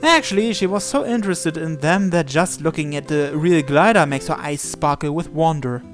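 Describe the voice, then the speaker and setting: High-voiced man reading a story aloud. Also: normal speed. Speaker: gamer reading a visual novel aloud. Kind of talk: read-aloud story narration. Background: game music.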